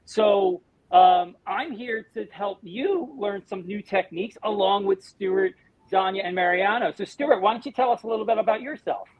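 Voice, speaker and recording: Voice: medium pitch, moderate pace. Host on a tinny call line. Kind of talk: moderating a live video chat. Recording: tinny phone-like video call.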